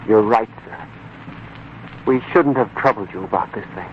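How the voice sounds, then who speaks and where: An actor playing a worried, respectful young farm worker about to marry, earnest in a 1940s radio drama manner. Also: male voice, fairly low pitch, normal speed, lightly acted. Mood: resigned, respectful. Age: young adult. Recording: muffled, noisy 1940s radio recording.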